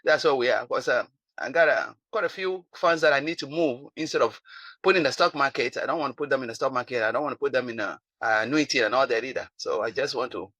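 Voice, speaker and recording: A woman in her seventies with a Ghanaian accent, answering interview questions over a video call, animated and quick to repeat and restart her words. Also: very deep voice, slightly rough, dry recording. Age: 70s. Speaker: client giving a testimonial.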